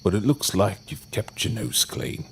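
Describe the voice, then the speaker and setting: A man's voice: fairly low pitch, lively intonation, measured pace, a little hiss or hum. A man acting in an audio drama as an effusive, theatrical long-lost brother, with flamboyant, wordy, old-fashioned phrasing. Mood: grand, wistful.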